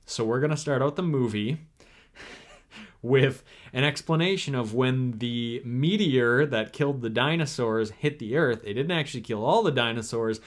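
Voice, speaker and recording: A young man in a casual podcast conversation who speaks at a mid pitch. He rambles, leans on filler words and circles back to restart his sentences. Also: moderate pace. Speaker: podcast co-host. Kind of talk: casual chat.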